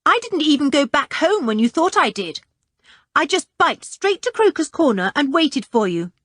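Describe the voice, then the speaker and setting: A woman reading a panicky, frightened young boy in an audiobook, with shrill, breathless pleading. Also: big pitch swings, normal speed, a bit bright, no echo, clean studio sound. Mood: defensive.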